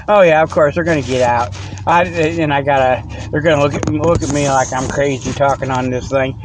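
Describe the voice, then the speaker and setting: A man's voice: fairly high pitch, steady tone, normal speed, noisy background. Rambling folksy man in a parking lot. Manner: folksy, laughs often, keeps saying "okay?". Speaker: host of a comedy podcast segment. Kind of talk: rambling casual monologue. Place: in a parked vehicle in a parking lot. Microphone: tablet.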